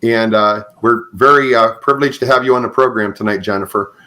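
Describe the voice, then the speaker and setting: A man's voice: on the low side, a little fast, bright, forceful tone, rough recording. A man hosting a conversational podcast interview, speaking in hesitant phrases with frequent acknowledgements. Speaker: podcast host and author.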